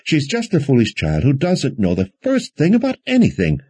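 A man's voice: lively intonation, takes it slowly, warm tone, husky, no room sound, full character voice. A man voicing a fretful, protective woman healer in an audiobook fantasy story, anxious and rambling. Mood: anxious, reassuring. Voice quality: shrill.

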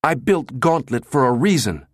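Man voicing a brash, insolent tech boss, pitched up. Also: animated, normal speed, no echo, clean studio sound, close to an everyday voice. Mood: angry. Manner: brash, sardonic, spitting out words. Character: bellowing, insolent, crude male computer systems chief. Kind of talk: audiobook character dialogue.

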